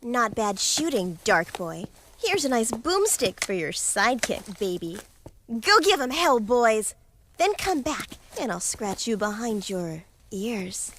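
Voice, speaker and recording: A woman's voice: a little high, sing-song, slow pace, fairly bright tone, slight background noise, full character voice. A woman voicing a flirty, sassy, doting female gunslinger in a video game, teasing with pet names. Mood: flirty, confident. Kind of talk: video game cutscene acting.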